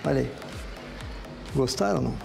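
A man's voice: medium pitch, animated, normal speed, vocal fry, loud music behind the voice. A Brazilian man in his sixties, hosting a travel vlog with effusive, exclamatory narration, calling out to viewers as he tours a museum crypt and a city street. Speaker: TV host on a travel segment.

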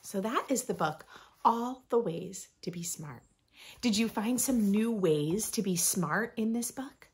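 A woman in her thirties reading aloud expressively for young children, with playful emphasis and deliberate phrasing. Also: mid-range voice, lively intonation, darkish tone, breathy edge. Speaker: host of a children's read-aloud channel. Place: small furnished room indoors.

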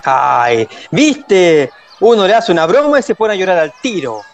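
A man's voice: sits high, very animated, unhurried, a little hiss or hum, exaggerated voice. A man playing a mocking little Chilean schoolboy bully in a radio drama. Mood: scornful.

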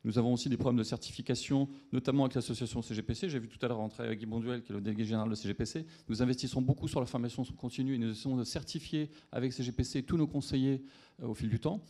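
A man's voice: mid-range voice, fairly even intonation, racing, mellow. A middle-aged man speaking as a company executive in a panel discussion on a conference stage. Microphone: handheld microphone.

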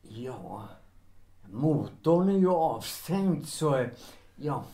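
A man's voice: fairly high pitch, big pitch swings, warm tone. A man playing an awkward, deferential chauffeur in a 1930s audio drama, with hesitant, stammering politeness. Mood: awkward, polite. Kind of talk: Swedish audio drama acting. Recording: roomy, echoing interior sound.

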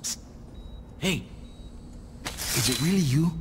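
A young streetwise inmate for a crime video game. His voice sits a little high and comes out casual and insistent. There is clear background noise around it. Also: lively intonation, slow pace. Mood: urgent, hushed plea. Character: eager young male prison inmate, loyal, streetwise. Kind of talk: video game cutscene acting.

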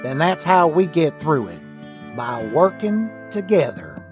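A practical, no-nonsense male pony sheriff , as a voice actor plays him. He speaks bluntly in folksy terms. His intonation is lively. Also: medium pitch, takes it slowly, over music.

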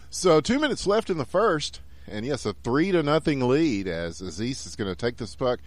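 Excitable Texan man calling a hockey game. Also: mid-range voice, no room sound. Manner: excitable, rising to shouts on goals. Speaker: esports caster. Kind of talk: play-by-play commentary.

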